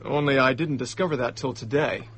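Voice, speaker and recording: An actor playing an indignant, hot-headed young male diplomat in a radio drama, talking in excitable slang. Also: medium pitch, fairly quick, slightly rough. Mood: agitated recounting.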